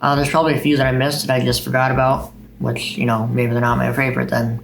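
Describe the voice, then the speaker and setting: A man's voice: medium pitch, completely flat delivery, fast talker, gravelly, slight background noise, poor audio. A man hosting a video of poetry readings. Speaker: video host reading poems.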